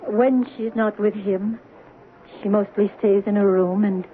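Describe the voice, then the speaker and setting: A woman's voice: medium pitch, steady tone, a little slow, natural voice. An actress playing a worried middle-aged mother who speaks hesitantly and trails off, in 1970s radio drama style. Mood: anxious. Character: worried mother of a teenage daughter. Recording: tinny, hissy old radio broadcast.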